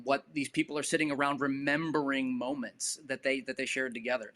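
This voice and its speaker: A man's voice: medium pitch, fairly bright tone. Earnest male arts panelist, mid-range voice. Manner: earnest, searching for words, often tagging points with "right". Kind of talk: reflective panel discussion.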